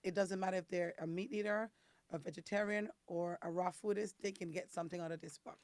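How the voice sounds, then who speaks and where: A woman from the Caribbean with a raspy, hoarse voice, talking as a guest and explaining things step by step with frequent ums. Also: lowish voice, steady tone, brisk, darkish tone, no room sound. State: hoarse from detox. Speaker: guest, detox specialist. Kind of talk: casual interview talk.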